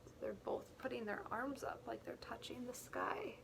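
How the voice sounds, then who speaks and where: A woman teacher reading a picture book aloud to young children, expressively and deliberately, pausing to explain things in simple words. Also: a little high, creaky, noisy background. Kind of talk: read-aloud storytelling with explanatory asides.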